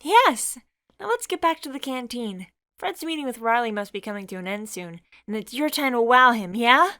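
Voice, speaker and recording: A perky, eager young woman who pushes her friend along in a comic-dub story, as a woman reader puts her on. Her voice rides higher and brighter, keen and chatty. The recording is dry and close. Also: animated, normal speed, dry recording. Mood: upbeat. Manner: upbeat and eager, high bright delivery.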